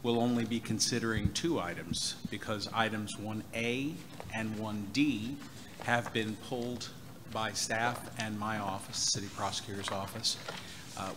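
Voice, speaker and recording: A man serving as city prosecutor, addressing a board formally and in a measured way. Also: fairly low pitch. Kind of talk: formal legal notice. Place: city council chamber. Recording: noisy, reverberant chamber audio.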